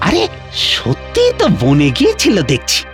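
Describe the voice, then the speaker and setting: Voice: medium pitch, huge pitch swings, moderate pace, music in the background. An actor playing a gossiping, self-excusing villager in a cartoon, with an exaggerated manner. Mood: dismissive. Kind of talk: dramatic cartoon voice acting.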